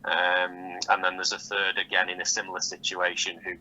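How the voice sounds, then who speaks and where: Deep, monotone man on a dull phone call. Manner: halting, hesitant phrasing with repeated words. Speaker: football manager being interviewed. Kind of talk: phone interview, measured answers. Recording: dull, lightly noisy phone call audio.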